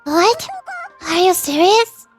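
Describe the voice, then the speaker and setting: A woman voicing a small, excitable fairy companion in a childlike, exclamatory way. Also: very high voice, takes it slowly, faint music behind, voice pushed up, full character voice. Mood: excited.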